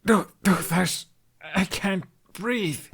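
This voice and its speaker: A man voicing a scrawny teenage boy who rides dragons, playful and grumbling, with a halting, whiny delivery, in an audiobook. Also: high-pitched, vocal fry, fairly bright tone, husky, pitched above the performer's own voice, full character voice. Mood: winded, strained.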